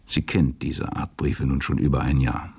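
A male narrator for a radio play with an extremely low voice. It is creaky and husky, delivering scripted literary narration. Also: fairly even intonation, moderate pace, a little mellow.

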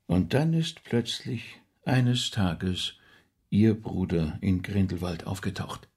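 A husky, probing rabbi sleuth. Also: male voice, sits low, a little mellow, heavily acted. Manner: measured, formal questioning. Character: probing male rabbi playing detective, insistent, persuasive. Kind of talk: radio drama dialogue.

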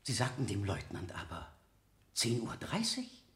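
A man's voice: fairly low pitch, huge pitch swings, a little slow, fairly bright tone, echoey room, full character voice. A man acting a relentless, scornful public prosecutor in a 1970 German radio drama, with theatrical, insistent rhetoric. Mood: coolly pressing. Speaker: actor in a radio play.

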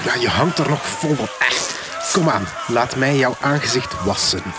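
An actor with a Flemish accent playing a pious, scolding, easily flustered priest in a comic gothic radio drama. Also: male voice, mid-range voice, animated, moderate pace, lightly acted. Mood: calmer. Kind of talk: gothic horror comedy radio drama acting. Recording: noisy radio play scene.

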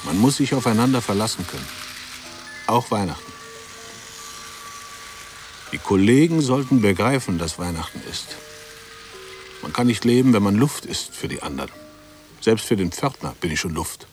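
An actor playing an embittered, dutiful bank employee in a radio play, brooding in clipped, self-justifying fragments. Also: male voice, fairly low pitch, animated, moderate pace, pauses often, darkish tone, soft background music, close to an everyday voice. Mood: aggrieved. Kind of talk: interior monologue in a 1960s German radio play.

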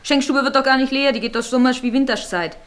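An actress playing a brusque young rural maidservant in a German radio play, with a Silesian accent and an earthy, snappish way of talking. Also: female voice, sits high, fast talker, fairly bright tone. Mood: mocking.

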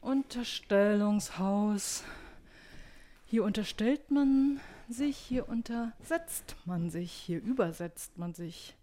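A woman's voice: mid-range voice, takes it slowly, mellow. A woman improvising spoken-word sound poetry, repeating and varying her phrases in a noisy field recording. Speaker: poet.

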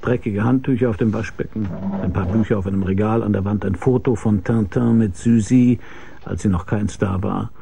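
Gravelly, laconic private detective. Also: male voice, fairly low pitch, fairly even intonation, normal speed, noisy background. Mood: wry. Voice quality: gravelly, husky, dark. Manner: dry, laconic. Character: laconic, world-weary private detective telling his own case. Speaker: first-person narrator and lead. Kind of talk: German radio drama, hardboiled narration and dialogue.

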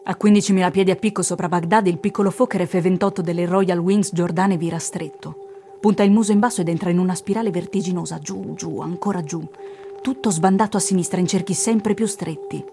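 A woman reads a scripted travel narration in a measured, evocative way, as in radio theatre. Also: on the low side, fairly even intonation, mellow, faint music behind. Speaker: reader in a radio theatre production. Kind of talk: dramatic literary narration, read from a script.

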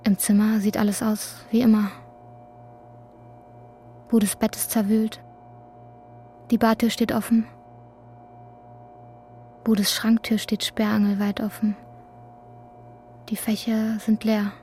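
Mellow woman as a literary drama narrator. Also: mid-range voice, flat delivery, takes it slowly, long pauses, slight background noise, natural voice. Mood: reflective, measured. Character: female narrator recounting an anxious man's inner thoughts.

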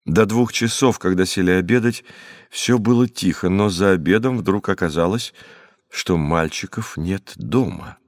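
A man reads a story as an audiobook narrator. His voice sits fairly low, and his phrasing is expressive and dramatic. The recording is very dry. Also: measured pace, dry recording.

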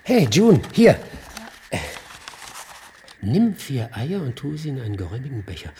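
A man's voice: medium pitch, very animated, a little slow, dark tone, slight background noise. An actor playing a curious, wry male investigator in a radio play. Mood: excited discovery. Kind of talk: German radio play acting.

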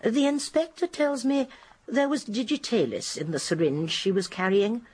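A woman's voice: fairly high pitch, moderate pace, a little mellow, husky. An actress playing a shrewd, genteel elderly English spinster detective in a radio drama, precise and emphatic as she explains. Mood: assured. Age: older. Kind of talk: British radio drama acting.

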